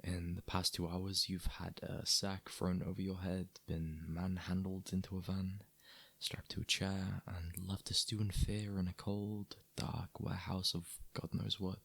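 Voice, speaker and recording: Man acting a very gravelly, husky mafia boss. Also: deep voice, a bit bright, dry recording, full character voice. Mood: apologetic, soothing. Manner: dry, conversational, sardonic, addressing listener as doll. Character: apologetic, wry mafia boss, gentlemanly and exasperated. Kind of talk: ASMR audio roleplay, intimate scripted acting.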